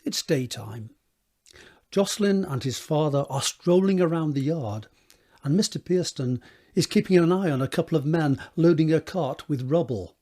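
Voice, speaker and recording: A man narrating a scripted drama, setting each scene in a measured, descriptive read with a husky voice. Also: fairly high pitch, expressive, warm tone.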